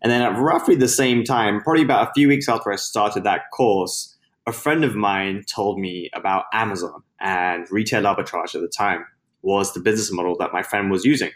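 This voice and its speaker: A young man from London with a British accent, chatting upbeat as a podcast guest about his business start. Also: lowish voice. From London, England. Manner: chatty and upbeat, with quick laughs. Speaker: podcast guest, Amazon seller. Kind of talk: casual interview chat.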